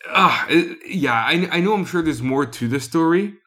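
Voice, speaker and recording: A man's voice: a little high, animated, normal speed, sharp tone. A man co-hosting a casual podcast, slightly nasal from a cold, joking and rambling as he corrects himself. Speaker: podcast co-host.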